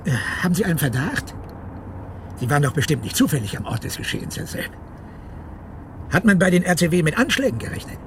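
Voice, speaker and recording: Wary police inspector for radio drama: higher-pitched, expressive, probing, faint vehicle noise around him. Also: male voice. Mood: probing, wry. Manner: explanatory, deliberate, probing. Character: measured, shrewd male police inspector, wary official. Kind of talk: German radio crime drama dialogue. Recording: noisy vehicle interior ambience. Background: car driving noise.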